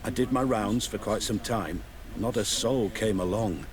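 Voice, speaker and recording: A man's voice: fairly low pitch, measured pace, background noise, lightly acted. An actor playing an anxious, evasive guard in a video game, stammering and deferential as he reports to his superiors. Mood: nervous, explanatory. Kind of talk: video game dialogue acting.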